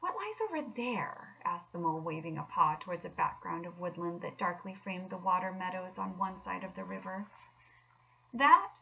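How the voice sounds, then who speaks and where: A woman's voice: lowish voice, completely flat delivery, brisk, vocal fry, few pauses, bright, forceful tone, far from the mic, dull audio. A woman in her thirties narrating a children's storybook aloud as a teacher would. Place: small furnished room at home. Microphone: laptop webcam at desk distance.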